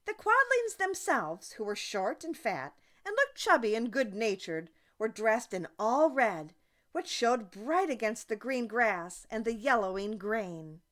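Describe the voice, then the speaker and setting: A woman narrates a children's audiobook in a fairly high voice. Her delivery is expressive and deliberate, with lively intonation and slow, careful phrasing. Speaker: audiobook reader. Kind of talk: scripted children's story narration.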